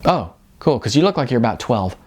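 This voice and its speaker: A man voicing a cocky, excitable young male musician in an audiobook, slangy and boastful. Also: medium pitch, fairly quick. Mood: upbeat, casual.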